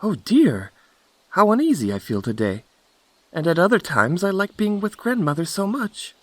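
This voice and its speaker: An innocent, wide-eyed little girl from a storybook, played by a man, pitched well up with very lively swoops. She is polite and earnest, in a dry, close recording. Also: normal speed, dry recording, exaggerated voice. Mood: uneasy. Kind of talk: storybook character voice.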